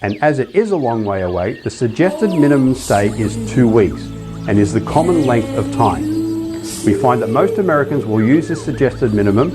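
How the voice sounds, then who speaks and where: A middle-aged man with an Australian accent, presenting a scripted travel webinar in an upbeat way, with Aussie slang. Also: mid-range voice.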